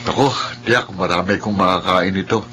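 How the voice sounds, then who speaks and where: Low-voiced, placating young Filipino man. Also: fairly even intonation, normal speed, pushed and bright. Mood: friendly, reassuring. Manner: apologetic, placating. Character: kind, placating young man, successful city worker. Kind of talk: melodramatic Filipino audio drama acting. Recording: noticeable background noise.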